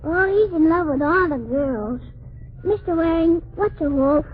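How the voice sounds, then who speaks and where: Through a thin, noisy old radio band comes a mischievous little girl, played by a man, her voice extremely high. She is childlike and literal-minded, delivering cheeky comebacks with an innocent deadpan in a 1940s comedy routine. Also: unhurried. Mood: curious, cheeky. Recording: tinny, hissy 1940s radio broadcast.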